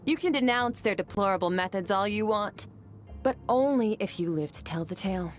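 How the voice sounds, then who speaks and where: A woman voicing a composed adult swordswoman mentor in a video game, speaking in a calm, measured, matter-of-fact way. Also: medium pitch, faint music behind, lightly acted. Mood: stern.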